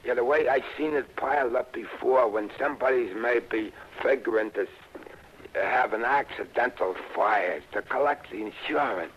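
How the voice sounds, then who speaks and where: An actor with an American accent playing a halting, eager, streetwise informant with a husky voice in a 1960s radio drama. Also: male voice, mid-range voice, a bit bright, a little hiss or hum, close to an everyday voice. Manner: hesitant, rambling, colloquial streetwise speech. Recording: muffled old radio broadcast recording.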